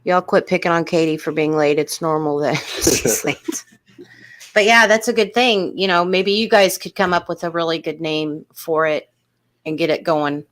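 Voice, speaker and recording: Woman live-stream host with a lowish voice, chatty, reading viewer questions aloud. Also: steady tone, normal speed. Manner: chatty and encouraging, says "y'all".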